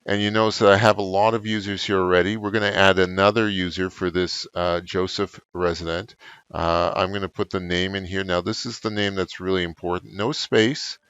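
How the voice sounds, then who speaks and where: A middle-aged man explains software step by step as an unscripted tutorial, with frequent hesitant pauses. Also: low-pitched, clear, resonant tone, muffled recording. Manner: halting, frequent filled pauses. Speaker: trainer, tutorial presenter. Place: office room indoors.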